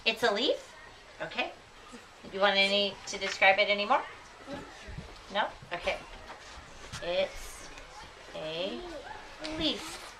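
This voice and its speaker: Bright, slow-speaking woman teaching a class. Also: medium pitch, lively intonation. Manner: slow, deliberate repetition with encouraging endearments. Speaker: elementary school teacher. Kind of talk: classroom instruction, questioning pupils and repeating their answers. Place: classroom with children. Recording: distant mic, clearly audible room noise.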